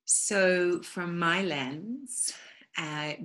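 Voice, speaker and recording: A woman's voice: lowish voice, extremely slow, fairly bright tone. A woman with an English accent speaking as a guest in an interview, earnest and reflective in a flowing manner. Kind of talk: spiritual interview talk.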